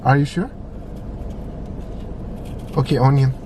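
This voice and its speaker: A man's voice: mid-range voice, steady tone, slow. A man chatting casually and teasingly as a vlogger. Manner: playful, teasing. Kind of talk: casual chat.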